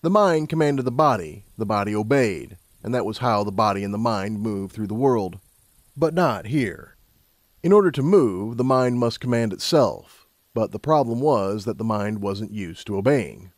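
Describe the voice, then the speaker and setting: A male narrator reads a scripted story in a fairly low voice. His delivery is measured and dramatic, and the recording is dry with little room sound. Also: expressive, no room sound. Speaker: audiobook narrator.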